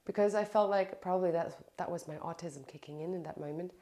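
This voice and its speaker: A woman hosting a podcast interview, affirming her guest and telling personal stories in a lively, casual way. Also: on the low side, fast. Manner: warm encouraging listener, lively anecdotal storyteller. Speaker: podcast host.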